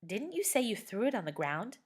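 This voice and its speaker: A woman voicing a calm, patient mother in a storybook reading. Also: medium pitch, husky, voice pushed up. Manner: measured, calm, slow.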